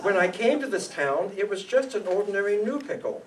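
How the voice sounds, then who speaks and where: Man as a high-voiced, lonesome pickle millionaire. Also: big pitch swings, a little fast. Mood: boastful, lonesome. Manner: plaintive, boastful. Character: lonesome, boastful, eccentric male pickle millionaire. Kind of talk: comic old-time radio acting. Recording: distant room microphone, clicks, faint noise.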